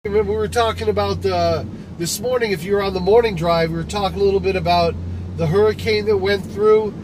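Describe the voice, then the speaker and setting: A rambling man with a fairly high voice chats with his viewers while he drives. The recording is noisy, with clear road and car sound underneath. He keeps restarting his sentences as he talks. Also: fairly even intonation, fast. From Michigan, USA. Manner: rambling, conversational, with frequent restarts and fillers. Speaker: livestream host. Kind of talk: casual live-stream talk while driving. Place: inside a car, driving.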